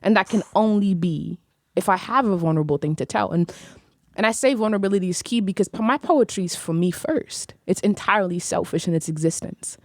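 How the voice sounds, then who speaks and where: A woman's voice: mid-range voice, fairly quick, no echo. Poetic young woman in a dry studio. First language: Arabic. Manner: vivid, poetic and reflective, laughs easily. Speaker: poet and podcast guest. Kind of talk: casual conversation. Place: small studio room. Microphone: studio mic on stand, headphones.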